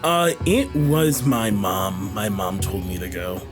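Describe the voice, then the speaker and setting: A man improvising casually as a player in a tabletop game, with hesitant, halting phrasing. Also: lowish voice, music in the background. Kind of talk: casual in-character improvised chat.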